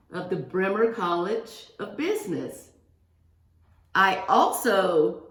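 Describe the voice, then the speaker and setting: A woman reading a picture book aloud to young pupils, expressively, with asides and questions addressed to the children. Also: fairly low pitch. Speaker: guest reader for a second-grade class. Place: indoors, reading remotely to a class. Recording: roomy, echoing sound of a virtual class reading.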